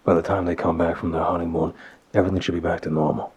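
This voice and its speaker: A man playing a soft-spoken, apologetic mafia boss boyfriend in an intimate ASMR roleplay, hesitant and gentle, with a low, husky, breathy voice. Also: flat delivery, a little hiss or hum, close to an everyday voice. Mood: reassuring. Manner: hesitant, gentle, sighing pauses. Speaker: audio roleplay performer. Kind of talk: ASMR audio roleplay, one-sided intimate phone call.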